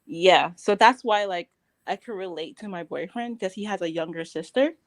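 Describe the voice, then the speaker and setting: A woman's voice: on the low side, moderate pace. A woman from Barbados, a guest on a casual live-stream chat, bubbly, often laughing and trailing off mid-sentence.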